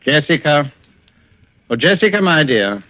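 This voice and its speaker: An actor playing a smooth, scheming husband who speaks with coaxing, patronizing control, in 1940s radio style. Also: male voice, on the high side, big pitch swings, measured pace, no room sound. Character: smooth, scheming husband with quiet control over his wife. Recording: muffled, dull old radio recording.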